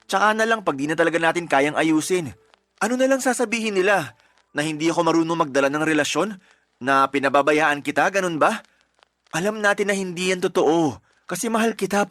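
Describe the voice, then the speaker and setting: A defensive young boyfriend. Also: male voice, sits high, a little fast, a bit bright, no echo. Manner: defensive, pleading. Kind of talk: radio drama acting.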